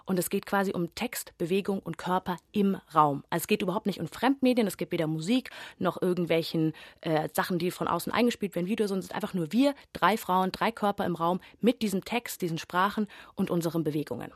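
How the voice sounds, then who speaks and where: A woman's voice: lowish voice, quick pace, few pauses, no echo, studio quality. A young woman theatre performer from Bavaria answering radio interview questions thoughtfully, with many fillers and emphatic stretches.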